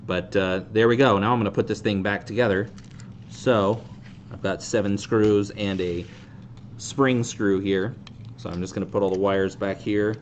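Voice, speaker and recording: A man in his thirties casually hosting a hands-on how-to demonstration in a deadpan, self-deprecating way. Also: fairly low pitch, moderate pace. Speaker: host of a fan group's how-to video. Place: indoor tabletop video shoot. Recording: clear background noise.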